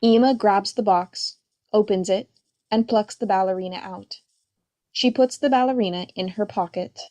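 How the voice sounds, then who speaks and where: Mid-pitched woman reading stage directions. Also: moderate pace, mellow. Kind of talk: scripted narration.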